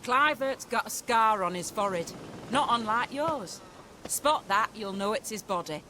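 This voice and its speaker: A sharp, forceful female voice. It is a cocky, coarse fantasy game swordswoman, mocking and pushy. Faint noise sits behind her. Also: fairly high pitch, lively intonation, a little slow. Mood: insistent, vengeful. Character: brash, pushy sword-fighting ruffian woman, mocking and vengeful. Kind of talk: video game voice acting.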